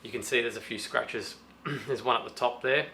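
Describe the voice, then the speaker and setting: A slightly hoarse man with a sore throat, casually thinking aloud through a do-it-yourself how-to demonstration with many restarts. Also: medium pitch, moderate pace, sharp tone, slight background noise. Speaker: DIY guitar pedal vlogger.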